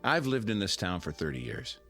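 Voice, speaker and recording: A middle-aged American man makes a toast in a radio drama. His voice sits a little low, with faint music around it. He is folksy and sentimental, teasing as he halts through his speech. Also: very animated, brisk, a bit bright, exaggerated voice. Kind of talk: radio drama acting, after-dinner speech.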